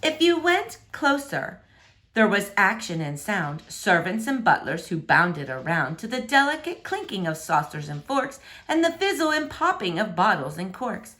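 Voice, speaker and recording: A woman reading rhyming children's fiction aloud in an expressive, theatrical, emphatic way. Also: mid-range voice, moderate pace. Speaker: storybook reader. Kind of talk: animated, rhyming children's story narration.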